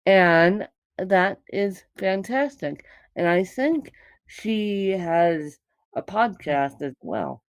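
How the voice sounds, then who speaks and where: A woman hosting a podcast, asking interview questions in a measured way. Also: lowish voice, lively intonation, dry recording. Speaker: podcast host.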